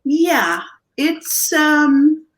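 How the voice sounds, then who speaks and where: Dutch-accented woman, animated and fairly high. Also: extremely slow, low-quality recording. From Netherlands. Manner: animated, thinks aloud with many restarts and "right?". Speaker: guest coach. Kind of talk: conversational interview answers.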